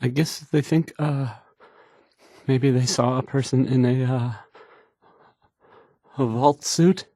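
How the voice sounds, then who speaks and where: A man's voice: medium pitch, steady tone, slow pace, pauses often, warm tone, no room sound. A man acting a shy, nervous young radio DJ in a video game, stammering, hesitant and unsure of himself. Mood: flustered, unsure. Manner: stammering, hesitant, self-doubting, trailing off. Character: painfully shy, nervous, awkward male radio DJ.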